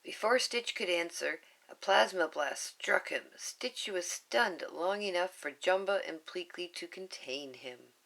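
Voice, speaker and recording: A woman's voice: fairly low pitch, a little slow, gravelly, hardly any pauses, fairly bright tone, low-quality recording. A woman reading a children's storybook aloud, with casual asides and chuckles. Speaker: storybook reader.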